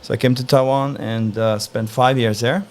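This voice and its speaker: A man from Norway answering interview questions in a relaxed, thoughtful way, pausing often to find his words. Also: mid-range voice, a little hiss or hum. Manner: relaxed, thoughtful, frequent "uh" pauses. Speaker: interview guest.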